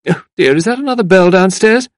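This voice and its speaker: A man playing a fluttery, high-pitched gushing woman. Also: lively intonation, brisk, no echo, studio quality. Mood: fussing. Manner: effusive, flustered, stammering. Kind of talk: audiobook character voice.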